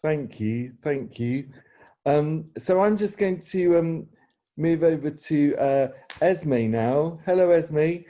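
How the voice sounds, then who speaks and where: Softly dark-voiced man, tentative interviewer. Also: on the high side, expressive, slow pace. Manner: hesitant, tentative, rambling phrasing. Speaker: interviewer and theatre director. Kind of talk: informal question-and-answer hosting.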